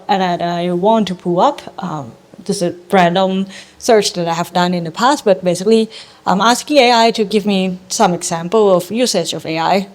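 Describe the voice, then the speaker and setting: Young woman presenting to a crowd, mid pitch, lots of ums, steady explaining. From Thailand. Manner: measured and explanatory, frequent ums, non-native grammar. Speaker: startup co-founder giving a talk. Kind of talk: presentation to a live audience.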